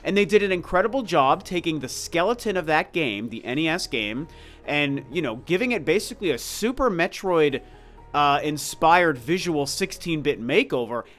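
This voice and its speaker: Stuffed up guy in his 40s, bit scratchy, slightly high voice, casual opinionated gamer video talk, faint music under it. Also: big pitch swings, normal speed, fairly bright tone. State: congested, sick with a cold. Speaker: video game review channel host.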